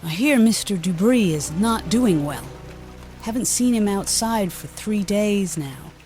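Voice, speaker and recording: A woman's voice: mid-range voice, animated, unhurried, over music, close to an everyday voice. A woman playing a concerned, motherly villager in a medieval audio drama. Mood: worried.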